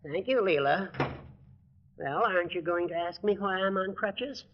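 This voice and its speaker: An actor playing an indignant, self-pitying older gentleman with formal speech in a 1940s radio comedy. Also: high voice, lively intonation, normal speed. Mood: aggrieved. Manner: aggrieved, formal. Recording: dull 1940s radio recording.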